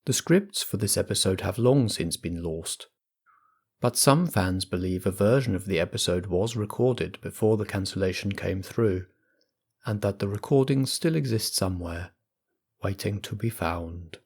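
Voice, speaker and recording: A man hosting a role-play podcast as compere, with a measured, theatrical scripted delivery. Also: low voice, animated, breathy edge. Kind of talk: scripted narration and introduction.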